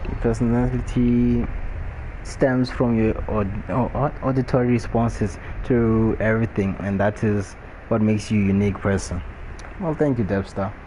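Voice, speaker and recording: A man's voice: on the low side, mellow, rough recording. A man from Botswana with a Botswana English accent, chatting casually and laughing often while live-streaming a game. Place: home room during a rainstorm. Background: faint rain and ambient noise.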